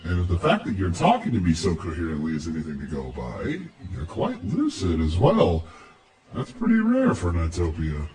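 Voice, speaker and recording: A man's voice: low voice, huge pitch swings, normal speed, a little mellow, recorded from a distance. A man voicing a cocky, teasing androgynous dream spirit guide with a sardonic, playful, theatrical manner. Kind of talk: character voice acting.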